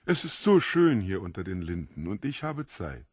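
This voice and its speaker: An actor playing a sly, courteous devil posing as an eccentric foreign gentleman in a German audio drama, playful and over-polite. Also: low voice, sing-song, moderate pace, slightly rough, dry recording, clean studio sound, heavily acted. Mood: amused.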